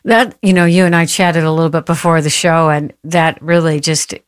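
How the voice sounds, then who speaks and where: Interview host, earnest and encouraging, middle pitch, dry close sound. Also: fairly even intonation, normal speed, smooth, no echo. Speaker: podcast host. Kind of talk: podcast interview.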